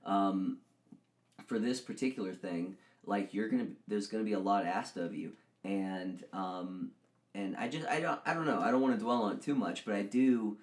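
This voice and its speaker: Low-voiced young man chatting loosely. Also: normal speed. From Texas. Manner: rambling, self-mocking, lots of restarts. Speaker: podcast co-host and actor. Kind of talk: casual chat.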